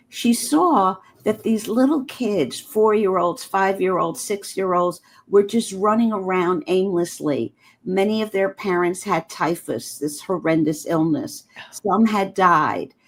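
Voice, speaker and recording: An older woman whose first language is German, telling a personal story as a guest with earnest, heartfelt feeling. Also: mid-range voice.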